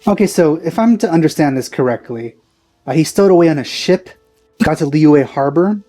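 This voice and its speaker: A man's voice: a little high, expressive, moderate pace, warm tone. A man giving casual let's play commentary in a dry, skeptical, sarcastic way. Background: faint game music.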